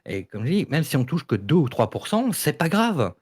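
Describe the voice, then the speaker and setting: A man's voice: fairly high pitch, sing-song, moderate pace, darkish tone, slightly rough. A man in a casual panel debate, explaining his points insistently and repeating them. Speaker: debate participant. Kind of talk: casual panel debate about audio fiction.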